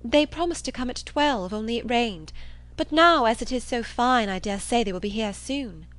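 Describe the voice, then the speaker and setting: Lady doing a sweet naive young woman from a storybook, high lilting voice, earnest, hesitant, full of questions. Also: expressive, moderate pace, fairly bright tone.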